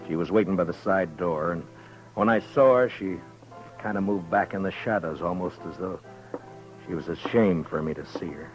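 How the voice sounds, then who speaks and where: An actor playing a steady frontier marshal in a 1950s radio western, narrating in a slow, measured, low-key way. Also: male voice, close to an everyday voice, poor audio. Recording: old radio broadcast with music.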